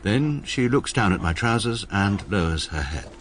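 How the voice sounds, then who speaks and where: Upper-class English barrister, very deep, animated pitch, pompous, precise, deadpan, radio comedy narration. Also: male voice, moderate pace, a little hiss or hum. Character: pompous, coldly logical English establishment barrister narrating his life. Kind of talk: scripted first-person narration in radio comedy drama.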